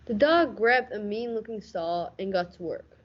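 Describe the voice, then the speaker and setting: A hardboiled police lieutenant played by a woman narrates a noir radio play in a middle-pitched voice. The delivery is deadpan and tough, on a slightly dull recording. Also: normal speed, heavy background noise, lightly acted. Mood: world-weary.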